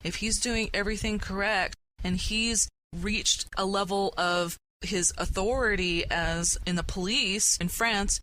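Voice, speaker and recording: Female podcast host, bright forward voice, earnest and reflective, some noise behind her. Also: medium pitch, steady tone, unhurried, hardly any pauses, poor audio. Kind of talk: conversational panel discussion. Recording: noticeable background noise.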